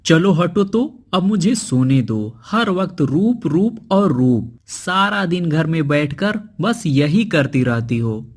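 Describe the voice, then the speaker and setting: A weary husband from a cartoon moral story, acted by a man. His voice sits a little high, and he scolds in an exasperated, fed-up way. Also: sing-song, slow pace, few pauses, full character voice.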